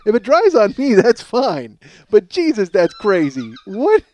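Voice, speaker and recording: Excitable high-voiced man ranting comedically. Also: unhurried. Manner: excitable, rambling, profane. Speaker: podcast host. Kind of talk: comedic ranting monologue, casual chat.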